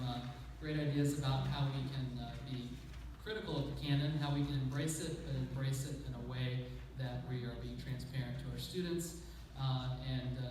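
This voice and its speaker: A man moderating a conference session, speaking haltingly with many uhs. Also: medium pitch. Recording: echoey hall from far off with noise.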